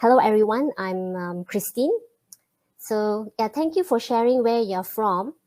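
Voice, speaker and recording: Woman, webinar host, relaxed and friendly, filler-heavy delivery. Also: medium pitch. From Malaysia. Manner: friendly, many fillers and pauses. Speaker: webinar host and programming teacher. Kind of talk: live online webinar greeting and intro.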